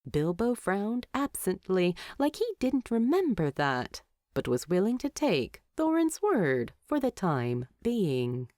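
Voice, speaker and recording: A woman's voice: medium pitch, wildly swooping pitch, takes it slowly, darkish tone, dry recording, clean studio sound. A woman narrating a scripted story as an audiobook-style reader.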